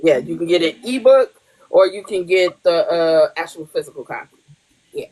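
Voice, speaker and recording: A woman's voice: on the low side, expressive, moderate pace, warm tone, poor audio. A woman hosting a podcast in casual chat, blunt and profane, laughing often. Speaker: podcast host.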